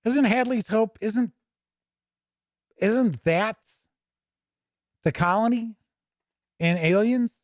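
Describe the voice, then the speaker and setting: A man's voice: sits high, slow pace, long pauses, no room sound. Casual man hosting, in a dry close sound. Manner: informal, thinking aloud. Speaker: host of a tabletop gaming news and review show. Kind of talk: casual livestream walk-through of a game book, chatting with viewers.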